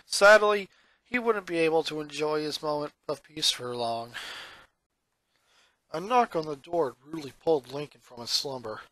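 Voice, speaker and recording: A man's voice: fairly high pitch, pushed and bright, no room sound. A man reading a piece of fan fiction aloud as scripted story narration.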